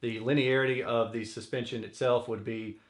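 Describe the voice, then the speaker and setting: Male gear reviewer, medium pitch, informal and technical, explanatory commentary delivered conversationally. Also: steady tone, moderate pace. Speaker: audio reviewer and host. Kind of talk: casual explanatory product commentary.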